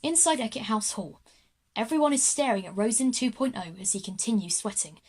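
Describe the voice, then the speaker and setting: A woman's voice: fairly high pitch, lively intonation, fairly quick, darkish tone, husky. A woman narrating a scripted children's audio drama.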